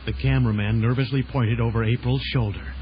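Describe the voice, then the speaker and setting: A man's voice: mid-range voice, fairly even intonation, fast talker, a little hiss or hum, natural voice. A man narrating a children's adventure audio drama with a theatrical announcer's delivery. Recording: dull band-limited old audio drama recording. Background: loud dramatic music.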